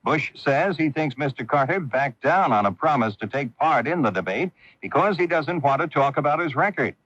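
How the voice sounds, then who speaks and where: A man's voice: a little high, lively intonation, moderate pace, a bit bright. An American man giving a scripted news report on old radio. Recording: dull dry old radio broadcast.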